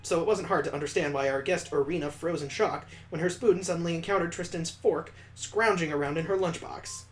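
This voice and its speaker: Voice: mid-range voice, fairly quick, hardly any pauses, soft background music. A person narrating a visual novel aloud for a let's play, with casual, joking asides. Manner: casual asides and joking remarks between read passages.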